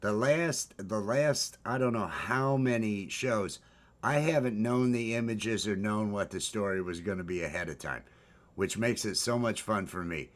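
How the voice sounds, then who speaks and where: Rambling baritone man hosting a chat. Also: measured pace. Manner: rambling, with many restarts and hesitant fillers. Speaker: host. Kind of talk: casual morning livestream chat.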